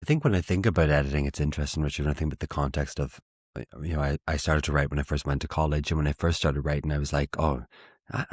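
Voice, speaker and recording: An Irish man, a theatre maker, chatting as a guest in an interview, animated and self-mocking with frequent restarts. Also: rumbling low, racing, no echo, clean studio sound. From Ireland. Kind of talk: casual interview chat.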